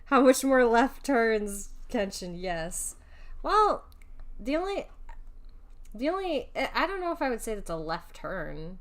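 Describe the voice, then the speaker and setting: A woman's voice: fairly high pitch, big pitch swings, measured pace. A woman streamer chatting casually while gaming, sleepy and joking, with mumbled asides.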